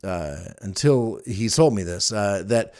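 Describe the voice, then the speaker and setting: Low-voiced man telling casual, wry stories. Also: expressive, unhurried, darkish tone. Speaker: podcast host. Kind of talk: casual rambling storytelling to listeners.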